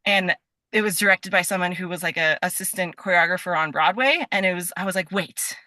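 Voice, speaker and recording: A woman's voice: fairly low pitch, a little fast, no echo, clean studio sound. A woman talking casually as an interview guest, telling stories in a chatty, giggly way. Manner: chatty, animated storytelling with quoted asides; giggly, breaks into laughter. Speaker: podcast guest.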